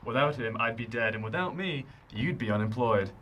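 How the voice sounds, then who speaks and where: A man acting in a radio drama as a taunting, persuasive criminal ringleader with a husky voice and theatrical delivery. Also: medium pitch, lively intonation, fairly quick, a bit bright, slight background noise, lightly acted. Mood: commanding. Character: smooth-talking, menacing male criminal ringleader, needling and bossy.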